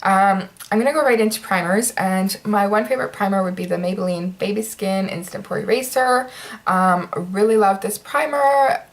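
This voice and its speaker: A woman's voice: medium pitch, normal speed. A woman vlogger chatting casually through her product favorites, sounding slightly stuffy and nasal, with lots of ums and likes. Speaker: beauty vlogger.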